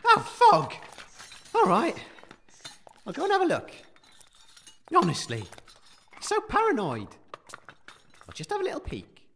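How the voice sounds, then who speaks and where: An actor playing a giddy, cheerful post-apocalyptic radio host in a comic audio drama, chirpy, chuckling and excitable. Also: extremely high pitch, measured pace, vocal fry, pauses often, slight background noise, heavily acted. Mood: curious, teasing.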